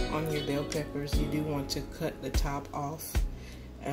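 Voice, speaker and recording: A woman with a notably low voice walks viewers through a recipe at her home stove, chatty and direct. Food sizzles in the pan and loud music plays underneath her, recorded on a phone camera. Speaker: home cooking channel host. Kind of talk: step-by-step cooking tutorial. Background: sizzling food and loud background music.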